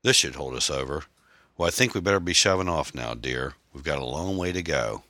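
A man's voice: a bass voice, animated, fairly quick, bright, forceful tone, no echo, heavily acted. A man voicing a menacing, jealous gunman, a foul-mouthed ex-boyfriend who taunts and threatens in a folksy way. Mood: sardonic.

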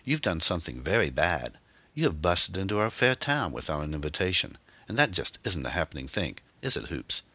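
A man's voice: deep voice, very animated, brisk, pushed and bright, no room sound, exaggerated voice. A man voicing a mockingly polite, menacing teenage English gang leader in an audiobook, chirpy and taunting. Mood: gleeful threat.

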